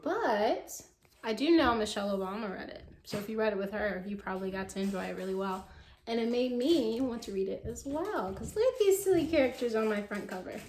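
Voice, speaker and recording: A woman teacher reading a picture book aloud to children, expressive and encouraging, asking her young listeners questions. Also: a little high, a little fast. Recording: roomy echo with faint background noise.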